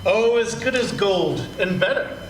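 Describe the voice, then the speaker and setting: A man's voice: high-pitched, expressive, unhurried. A man playing a kindly, humble father in a live radio play, speaking tenderly and earnestly. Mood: cheerful, fond. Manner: tender, earnest, swooping delivery. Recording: roomy stage sound with clear noise.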